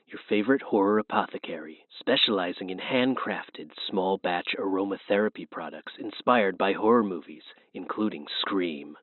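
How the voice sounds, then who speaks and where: Through a tinny phone line comes a very low-pitched horror-movie killer, acted out by a man. He is menacing and teasing, pitching like a taunting salesman in a scripted phone-call skit. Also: dry recording. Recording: tinny, narrow-band phone-call sound.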